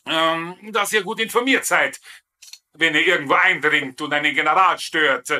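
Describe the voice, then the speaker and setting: An actor playing a blustering, tipsy Bavarian sergeant who poses as a general, in dubbed sitcom comedy style. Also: male voice, a little high, moderate pace, sharp tone. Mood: blustering outrage. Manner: blustery, exclaiming, indignant.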